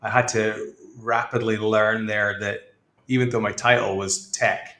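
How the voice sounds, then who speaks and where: A man's voice: on the low side, flat delivery, measured pace, a bit bright, roomy sound. Scottish man with a mixed accent. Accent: mixed Scottish and Australian. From northeast Scotland. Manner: reflective, with fillers and frequent laughs. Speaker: guest, tech executive. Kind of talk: casual interview talk.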